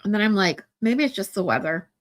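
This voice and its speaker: Stuffed-up woman, 40s, rambling live chat w/ viewers, lots of ums, middle pitch. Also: lively intonation, fairly quick. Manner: rambling, many ums and restarts. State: stuffy nose. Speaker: livestream host.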